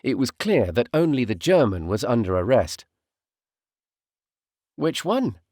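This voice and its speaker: A man reading a first-person audiobook narration in a measured storytelling way, in short, deliberate phrases. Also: medium pitch, big pitch swings, mellow, no echo, studio quality.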